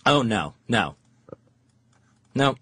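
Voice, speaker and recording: A man's voice: medium pitch, very animated, very slow, pushed and bright, husky, dry recording. A man co-hosting live talk radio in a studio, meek and hesitant, often rambling as he answers. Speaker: radio co-host.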